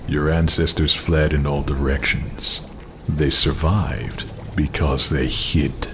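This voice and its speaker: Cold haughty game villain soldier, super deep n deliberate, noisy background. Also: male voice, little pitch movement, fairly bright tone, heavily acted. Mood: cold contempt. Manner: grave, deliberate, condescending. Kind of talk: dramatic video game cutscene acting.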